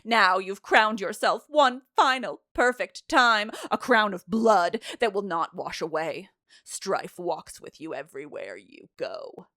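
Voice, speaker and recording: A woman's voice: medium pitch, expressive, takes it slowly, sharp tone, dry recording. A mournful chorus of ancient tragedy, acted out by a woman storyteller. The delivery is declamatory and theatrical, full of grave accusation. The recording is close and dry.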